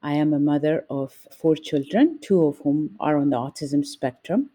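A woman from Ethiopia, a nurse and family advocate, speaking in a measured, deliberate way as an interview guest. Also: sits low, dark tone.

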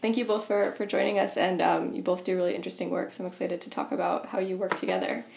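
A woman's voice: on the low side, level tone, fast, gravelly, a little mellow, a little hiss or hum. A woman interviewer asking questions hesitantly, hedging with "kind of" and "um". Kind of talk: interview hosting.

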